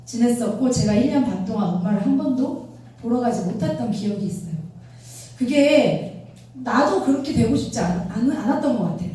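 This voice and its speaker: A woman in her thirties speaks as a trainer to a live audience. Her voice is fairly high and a little soft-edged in tone. She talks conversationally and keeps putting questions to her listeners, heard from a distance in a very reverberant room. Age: 30s. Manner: conversational, often checks with the audience using rhetorical questions. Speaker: product trainer and speaker for a direct-sales business. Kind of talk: motivational product lecture to a live audience. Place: echoey indoor lecture room with an audience. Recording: very echoey room, distant mic, faint noise.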